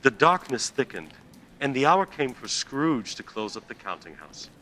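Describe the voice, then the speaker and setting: A man's voice: mid-range voice, very animated, moderate pace, pushed and bright. A man reading scripted narration for a radio play. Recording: faint background noise.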